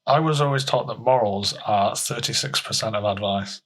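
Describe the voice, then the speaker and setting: A man giving comic advice on a podcast in casual, deadpan banter. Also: fairly low pitch, moderate pace. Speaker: advice-giver on a comedy podcast. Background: music.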